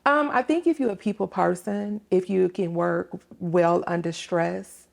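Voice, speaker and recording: A woman answering interview questions as a police dispatcher, explaining things conversationally with pauses to think. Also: lowish voice, gravelly.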